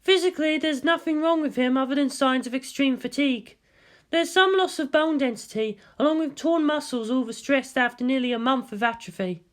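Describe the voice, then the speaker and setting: A cool, clinical woman of science, as acted out by a narrator. Her voice sits well up high, and she reports in a dry, matter-of-fact way. It is a measured character delivery from an audio story. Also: fairly bright tone.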